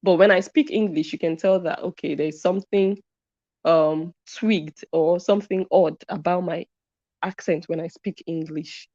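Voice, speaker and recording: A woman talks through a live stream, reading viewers' comments and driving her points home by saying them twice. Her voice sits a little low and sounds warm and mellow. The recording is dry and a bit dull, with a lo-fi edge. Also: fairly even intonation, normal speed, no echo. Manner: emphatic, repeats points for stress. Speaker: commentary channel host.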